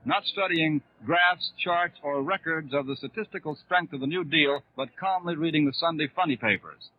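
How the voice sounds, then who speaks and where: An American man giving formal, measured live radio commentary as a news announcer at a 1930s political convention, on an old radio broadcast. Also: a little high. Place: convention hall broadcast position. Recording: scratchy, narrow-band 1930s radio broadcast.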